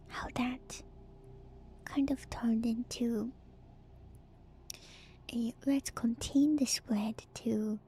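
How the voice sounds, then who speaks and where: A woman streamer doing a whispered ASMR reading with casual commentary, musing in a hushed, drawn-out way. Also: on the high side. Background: background music.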